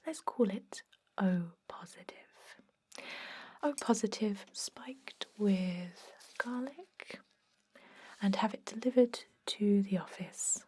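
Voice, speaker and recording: A woman playing a soothing, sly vampire dentist in an ASMR roleplay, speaking gently and deliberately in a breathy whisper. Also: medium pitch. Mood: sly, quietly menacing. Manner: slow, gentle, deliberate, softly reassuring.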